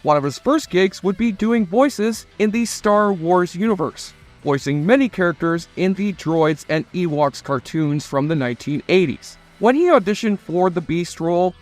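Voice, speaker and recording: A man's voice: high voice, lively intonation, normal speed, hardly any pauses. A man reading scripted narration for a retrospective video documentary. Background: faint music.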